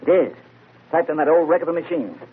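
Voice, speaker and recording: A man playing a gruff, no-nonsense older police inspector with clipped speech in a 1940s radio mystery. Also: on the high side, lively intonation, fast talker, slight background noise, close to an everyday voice. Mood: brisk, grim. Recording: tinny old radio broadcast.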